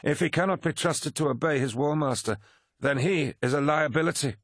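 A man's voice: a little high, brisk, a bit bright, no echo, studio quality, pitched above the performer's own voice. A man voicing a harsh, uncompromising, loyal warrior captain in an audiobook, speaking bluntly with a hard snarl. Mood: blunt, ruthless. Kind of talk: dramatic audiobook character acting.

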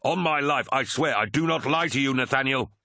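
A man voicing a desperate warrior pilot in an audiobook, shouting urgently as he pleads under fire. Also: sits low, fairly even intonation, fast talker, breathy edge, dry recording, clean studio sound, full character voice. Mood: frustrated, pleading. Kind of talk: dramatic audiobook character reading.

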